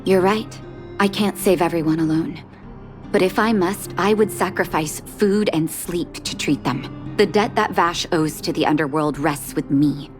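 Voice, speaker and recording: Actress as low-voiced, composed woman doctor. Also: faint music behind, natural voice. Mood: calm resolve. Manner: composed, measured, firm. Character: calm, resolute, dutiful woman doctor of the underworld. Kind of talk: video game voice acting.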